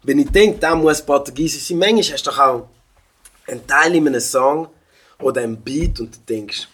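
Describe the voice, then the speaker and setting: A young man with a Swiss German accent talks casually as a guest. He sounds relaxed and slips English phrases into his sentences. His pitch is ordinary for a man. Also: moderate pace, slightly rough. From Switzerland. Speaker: rapper guest. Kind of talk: casual interview chat.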